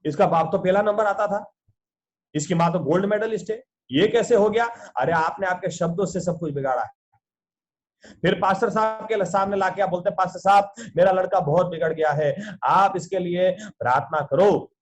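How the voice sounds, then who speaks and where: A man with a notably high voice delivers an earnest sermon as a pastor over a video call. He often stops to pose rhetorical questions to his listeners. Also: moderate pace.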